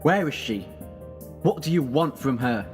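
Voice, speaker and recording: A man's voice: medium pitch, animated, a little slow, close to an everyday voice. A man acting in an audio drama as an intense, protective, heroic time-travelling alien. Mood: angry, threatening. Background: music.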